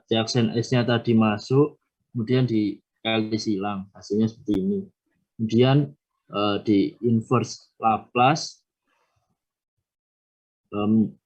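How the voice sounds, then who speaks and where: A young man presents a worked problem to his class at an unhurried pace. He hesitates often, filling the gaps as he thinks. His voice arrives over a dull, muffled online call. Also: medium pitch, darkish tone. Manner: halting, many filler hesitations. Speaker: student presenting a solution.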